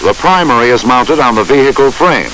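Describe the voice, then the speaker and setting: Man narrating, animated pitch, hissy film soundtrack. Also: rough recording. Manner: measured, formal, instructional delivery. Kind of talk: scripted instructional narration.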